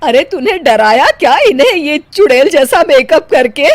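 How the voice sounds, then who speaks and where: A woman voicing a stern, dramatic middle-aged mother-in-law in a Hindi cartoon story, loud and scolding. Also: sits high, lively intonation, moderate pace, voice pushed up. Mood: angry, accusing.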